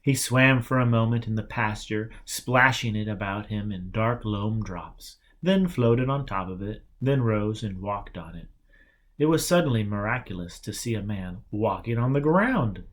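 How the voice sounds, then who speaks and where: A man's voice: lowish voice, animated, a little slow. Man reading a story aloud. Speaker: audiobook reader. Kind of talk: storybook narration with acted dialogue.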